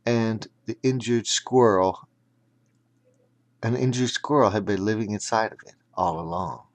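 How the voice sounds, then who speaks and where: A man narrating a children's audiobook dramatically, in deliberate, emphatic, broken phrases. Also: fairly low pitch.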